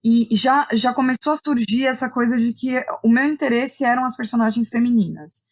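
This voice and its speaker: A woman's voice: fairly high pitch, normal speed, darkish tone. Brazilian woman reflecting in conversation. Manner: thinking aloud, often seeking agreement with tag questions. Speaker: interview guest, playwright and theatre director.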